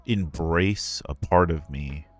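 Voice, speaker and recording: A man with a very deep natural voice telling stories casually on a livestream, candid and self-deprecating in a conversational way. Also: slow pace, soft background music. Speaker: streamer and ASMR roleplay voice performer answering a Q&A.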